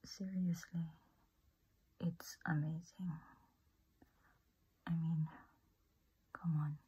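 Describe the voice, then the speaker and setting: A woman whispering softly through a makeup demo, gushing with amazement over each product. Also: low voice, fairly even intonation, drawn-out words, slightly rough, rough recording. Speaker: beauty ASMR creator.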